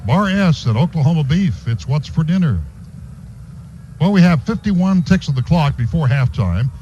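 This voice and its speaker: A man's voice: a little high, lively intonation, normal speed, mellow, dull audio, slight background noise. A man calling football play-by-play and reading sponsor spots on a radio broadcast from a stadium booth. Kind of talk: live sports commentary with sponsor reads.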